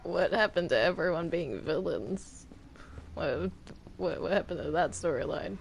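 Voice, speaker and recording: Gamer, casual chat, halting delivery, mid-range pitch, creaky, noisy home recording. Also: lively intonation. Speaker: player in a Minecraft multiplayer roleplay. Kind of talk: casual gameplay chat with roleplay.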